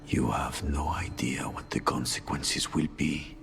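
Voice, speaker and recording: A man's voice: very deep voice, steady tone, measured pace, creaky, fairly bright tone, in a whisper, exaggerated voice. An actor playing a bitter, doom-saying man of an assassin family in a video game, needling with brooding questions. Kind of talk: dramatic video game acting. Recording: music behind the voice.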